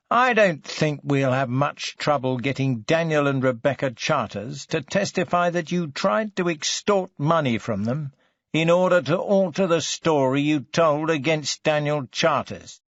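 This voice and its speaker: A stern police detective, acted out by a male audiobook reader, forceful and biting. He hectors slowly and deliberately, with gravel in the voice. The sound is dry and slightly dull. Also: a little high, no room sound, voice pushed up.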